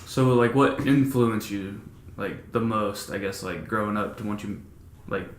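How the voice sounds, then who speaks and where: A man's voice: lowish voice, unhurried. A man hosting a podcast, asking questions in a casual, conversational way. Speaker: podcast host, interviewer. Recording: roomy, echoing indoor sound.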